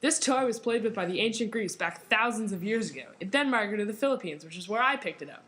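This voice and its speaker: A woman playing a cheery, salesy pitchwoman reading a scripted 1920s radio advertisement. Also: lively intonation, fast, fairly bright tone, lightly acted. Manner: salesy, enthusiastic.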